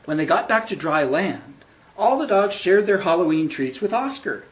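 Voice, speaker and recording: A man reading a picture book aloud to children as a librarian at storytime, with theatrical, deliberate phrasing. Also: sits high, animated.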